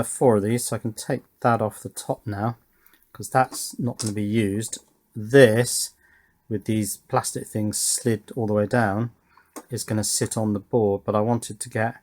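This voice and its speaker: A man's voice: fairly low pitch, steady tone, a little slow, a little mellow. A man giving casual, understated hands-on commentary as he works on a hobby electronics build, often thinking aloud and hesitating. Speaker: hobby electronics video maker.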